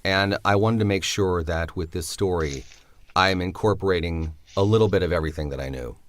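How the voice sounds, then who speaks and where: A man with a very low voice answers interview questions about his own writing. He speaks reflectively and often hesitates, and the sound is dry and close to the mic. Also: fairly quick, a bit bright, no room sound. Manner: reflective, hesitant, many fillers. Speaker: writer of the drama.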